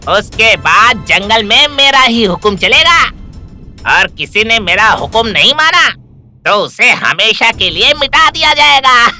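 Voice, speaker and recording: A performer voicing a menacing, boastful giant rat tyrant in an animated story, making slow villainous threats. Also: mid-range voice. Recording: music under the voice.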